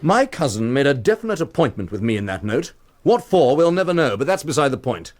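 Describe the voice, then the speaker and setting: A man playing a tipsy, insinuating blackmailer in a radio play. His medium-pitched voice swings freely up and down as he needles his listener with mock-friendly familiarity. He is cocky, sneering and theatrical. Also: big pitch swings, brisk, close to an everyday voice. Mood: insistent, indignant. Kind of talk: radio drama acting.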